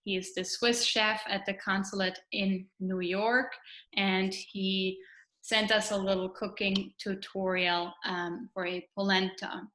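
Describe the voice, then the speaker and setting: A woman hosts an online event and gives the audience friendly instructions, with frequent "um"s. Her voice is bright and forward. The sound is roomy, as if she is speaking into a video call from an echoey space. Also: mid-range voice, little pitch movement, a little slow. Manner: friendly, filler-heavy instructions. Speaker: event moderator.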